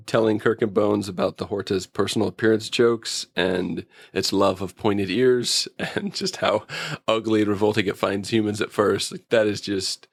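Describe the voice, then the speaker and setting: A man's voice: on the low side, gravelly, no room sound. A man co-hosting a casual, joking review chat, riffing with playful exaggeration. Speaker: podcast co-host reviewing TV episodes.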